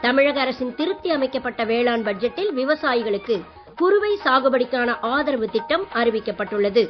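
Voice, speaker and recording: A woman newsreader reading a regional radio bulletin in a formal, measured way. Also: a little high, soft background music. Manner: measured, even delivery. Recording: dull lo-fi radio broadcast.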